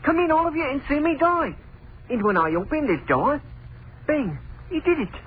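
A man's voice: very high voice, very animated, normal speed, narrow, tinny audio. A man playing a nervous, defensive witness being questioned, in an old radio drama. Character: jittery male neighbor questioned by police. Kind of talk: 1940s radio acting. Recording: scratchy, thin old radio broadcast.

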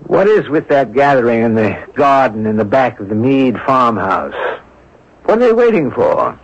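An actor playing a bold, quick-witted amateur sleuth in a 1970s radio drama. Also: male voice, mid-range voice, very animated, breathy edge. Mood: friendly, coaxing. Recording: dull 1970s radio broadcast recording.

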